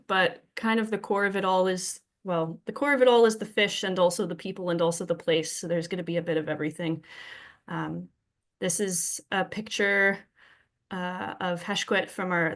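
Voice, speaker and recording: A young woman from Victoria, British Columbia, a little sick and sniffling, giving a friendly talk to a club audience from home, with many ums and restarts. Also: medium pitch. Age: young adult. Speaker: guest presenter. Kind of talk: remote presentation talk. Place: home, presenting remotely.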